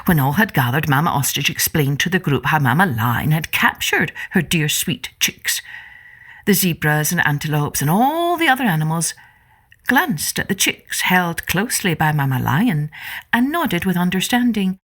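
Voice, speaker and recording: A woman reading a children's story aloud in an expressive, dramatic, deliberate way, as a podcast storyteller. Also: low-pitched. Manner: deliberate, dramatic, theatrical delivery.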